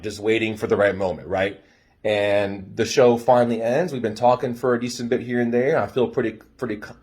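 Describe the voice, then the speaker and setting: A young American man casually telling a story in an animated way, swearing freely and acting out dialogue. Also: mid-range voice, husky. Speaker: storyteller giving dating advice.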